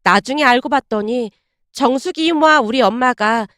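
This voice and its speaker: A woman with a high voice narrates a scripted first-person story. The recording is very dry, with little room sound. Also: normal speed, a bit bright, dry recording.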